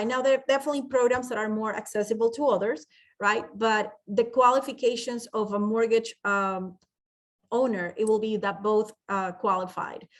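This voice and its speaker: A middle-aged woman from Ecuador gives a chatty, informal webinar talk as a bank presenter. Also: fairly high pitch. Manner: chatty, laughs while telling stories.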